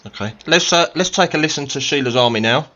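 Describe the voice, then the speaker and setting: A man's voice: fairly high pitch, fast talker, pushed and bright, highs cut off. A man hosting a radio interview, asking informal questions and stumbling over his words a little. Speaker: radio show host.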